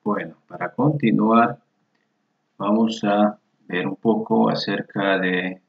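A man's voice: on the low side, fairly even intonation, slow, creaky. A man teaching a Spanish lesson over a screen recording, patiently spelling out each word form aloud. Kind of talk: slow screen-share lesson walkthrough. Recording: roomy, dull lo-fi recording.

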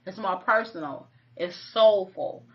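A woman's voice: mid-range voice, animated, a little slow. 30s woman, matter-of-fact, says you know a lot, lo-fi webcam sound. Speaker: co-host. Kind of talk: casual live-stream chat. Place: home kitchen, indoors. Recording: muffled lo-fi webcam audio.

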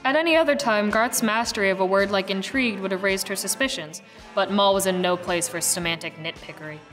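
A woman playing an arch, knowing narrator, reading scripted radio-play narration in a literary, deliberate manner. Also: medium pitch, fairly bright tone, soft background music, lightly acted. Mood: wry.

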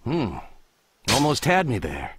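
An actor playing a calm, confident young ninja teacher in an anime, composed and firm but encouraging. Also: male voice, medium pitch, a little slow, noisy background. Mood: calm, approving. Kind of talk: anime dub acting. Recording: dramatic music under the voice. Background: music.

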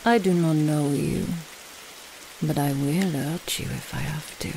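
A woman voicing a menacing, mocking French thrall in an ASMR audio roleplay, speaking with a French accent in a deliberate way. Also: sits low, mellow, a little hiss or hum, poor audio. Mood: cold menace.

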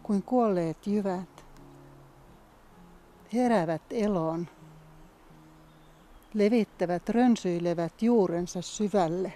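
A woman reciting poetry in a measured, scripted style. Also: mid-range voice, mellow. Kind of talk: poetic recitation.

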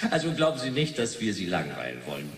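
An actor playing a jovial male TV quiz show host in a radio play, with halting showman patter. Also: deep voice, wildly swooping pitch, a bit bright, exaggerated voice. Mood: chatty, smug. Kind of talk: 1980s German radio play acting. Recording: echoey hall, distant, faint noise.

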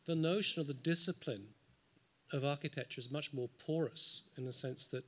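A man's voice: fairly high pitch, normal speed, fairly bright tone. Man musing at a panel table. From United Kingdom. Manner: thoughtful, frequent um fillers, dry asides. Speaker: symposium panelist. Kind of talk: unscripted panel response. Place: large lecture hall, seated at a panel table. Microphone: table microphone.

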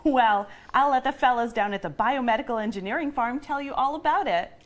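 A woman's voice: on the high side, brisk, natural voice. A woman playing a perky 1950s housewife and mother, delivering cheery sales patter like an old radio advert. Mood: perky, wholesome.

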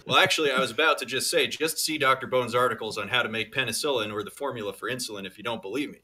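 A man reads aloud on a podcast in a forceful, rough-edged voice. His delivery is mock-serious, with casual asides. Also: mid-range voice, rapid-fire. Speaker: podcast reader.

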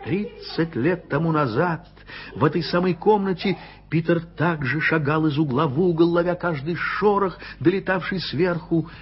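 A man giving measured, scripted literary narration for a radio play. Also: on the high side. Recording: dull-sounding vintage radio recording with music.

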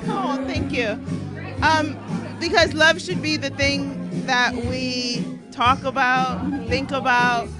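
Earnest woman guest, high voice, over loud party crowd. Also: steady tone, slow pace, over loud music. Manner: earnest, affectionate, emphatic. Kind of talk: casual interview answer. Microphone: handheld mic.

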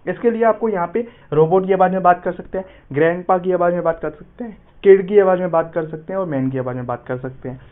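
A man's voice: high-pitched, quick pace, hardly any pauses, narrow, tinny audio. A man giving an app tutorial voice-over as a YouTube host, often addressing his viewers as friends.

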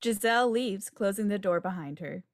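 A woman's voice: medium pitch, animated, normal speed, slight background noise. A woman reading out stage directions as scripted narration on a video call. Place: indoor room on a video call.

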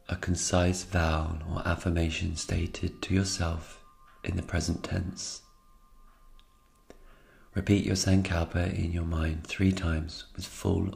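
A man with a very deep voice leads a guided meditation. His delivery is calm and soothing, staying flat and even in tone, with a gravelly creak at the edges. He leaves unhurried pauses between short phrases. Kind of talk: slow guided meditation narration.

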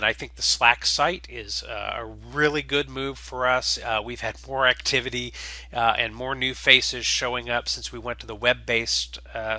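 A man gives a casual spoken introduction as host. His voice is strikingly bright and forward, and he moves along haltingly, dropping in uh after uh. The sound is dry and close, with very little room on it. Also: mid-range voice, dry recording. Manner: halting, with very frequent uhs. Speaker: host and game creator.

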